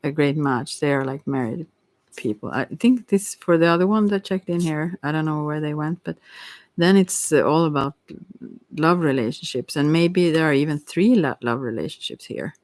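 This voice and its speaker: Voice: medium pitch, normal speed, a little mellow, no echo. Rambling, hesitant tarot reader, dry close sound. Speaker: tarot reader on a live stream. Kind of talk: casual improvised tarot reading chat.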